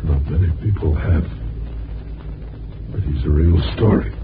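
An actor playing a bitter, weary, washed-up newspaperman on his deathbed, speaking weakly and haltingly in a 1950s radio drama. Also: a bass voice. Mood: weary, wistful. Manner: halting, broken phrasing. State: weak, failing, halting. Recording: noisy, tinny 1950s radio recording.

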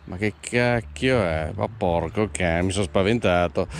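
A man talking to his viewers while fishing outdoors by a pond, breaking into excited exclamations, recorded on a head-mounted camera. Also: on the low side, slight background noise. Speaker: fishing vlogger. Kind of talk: casual commentary while fishing.

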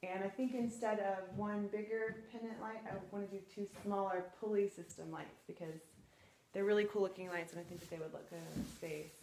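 A woman's voice: mid-range voice, fairly even intonation, brisk, dark tone, a little hiss or hum, poor audio. A young woman filming herself on a phone at arm's length, chatting casually about building her house and thinking aloud with fillers. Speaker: family vlogger. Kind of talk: casual home-building vlog. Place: house under construction and a back porch. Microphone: phone held at arm's length.

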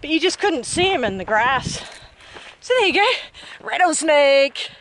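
High-voiced New Zealand woman hiking. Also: animated, a little slow. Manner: chatty, enthusiastic, many "um"s. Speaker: hiker vlogger. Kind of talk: casual walking vlog commentary. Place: outdoors on a desert mountain trail. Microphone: camera held at arm's length. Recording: noisy outdoor camera audio.

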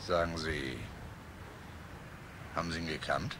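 An actor playing a drawling bystander in a German radio play. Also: male voice, very deep voice, steady tone, unhurried, noisy background. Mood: matter-of-fact. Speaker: bystander witness.

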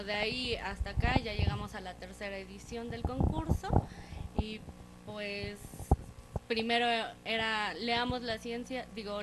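A woman's voice: fairly high pitch, very noisy. A young adult woman, a guest in a small studio TV interview, speaking into a handheld mic with some hesitation and fillers. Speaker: guest, contest organizer. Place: small TV studio set, indoors.

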